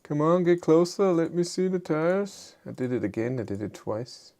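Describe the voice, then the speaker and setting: A man with a thick German accent hosting a casual live chat, low-key and hesitant, with many fillers as he answers viewers. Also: lively intonation, moderate pace, mellow. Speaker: livestream host.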